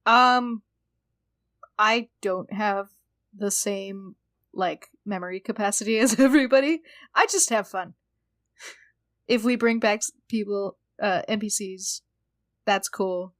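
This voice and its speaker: A woman who plays in a tabletop podcast, answering casually in a laid-back, halting way. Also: medium pitch, no room sound, studio quality. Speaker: podcast cast member, tabletop player. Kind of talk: casual, unhurried chat.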